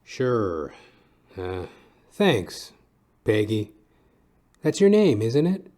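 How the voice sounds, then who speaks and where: A man playing a sardonic, world-weary private detective, narrating deadpan in hard-boiled style for an audio dramedy. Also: on the low side, very slow, full character voice. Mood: sarcastic, casual. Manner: wry, deadpan wisecracking. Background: music behind the voice.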